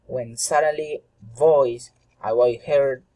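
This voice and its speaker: Slow, husky male storyteller. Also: mid-range voice, steady tone, mellow, rough recording. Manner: halting, deliberate phrasing with stumbles. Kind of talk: dramatic fairy tale narration.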